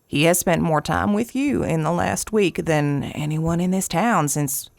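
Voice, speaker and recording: An actress playing a folksy, wryly affectionate small-town horse owner in an audio drama, coaxing and unhurried in manner. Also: female voice, fairly low pitch, creaky, a little mellow, dry recording. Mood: knowing, coaxing. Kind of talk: naturalistic audio drama acting.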